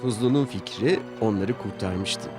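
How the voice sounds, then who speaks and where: A man's voice: fairly low pitch, unhurried. A man narrating a scripted children's story as its storyteller. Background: music under the voice.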